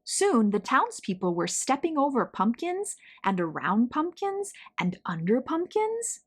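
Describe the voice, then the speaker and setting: A woman reading a picture book aloud to children, telling the story in a deliberate, dramatic way with emphasized words. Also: high voice, big pitch swings, breathy edge.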